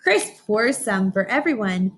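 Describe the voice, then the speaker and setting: Woman, teacher, children's read-aloud, animated intonation, slightly raspy, questioning and expressive. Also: mid-range voice, unhurried. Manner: expressive, questioning, addresses young listeners directly. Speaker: teacher reading a picture book aloud. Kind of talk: informational read-aloud lesson for young children.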